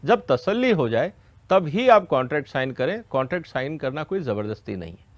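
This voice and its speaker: A man's voice: on the high side, big pitch swings, fairly quick, no echo, dull audio. A man giving an educational question-and-answer explanation, didactic and step by step, often checking that listeners follow. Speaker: expert explaining a law to farmers.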